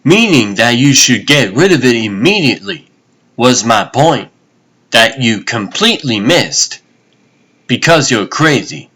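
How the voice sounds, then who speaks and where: A man's voice: medium pitch, big pitch swings, measured pace, sharp tone, slightly rough, full character voice. A man playing an exasperated young government agent in a comic dub, sarcastic and indignant. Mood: exasperated, urgent.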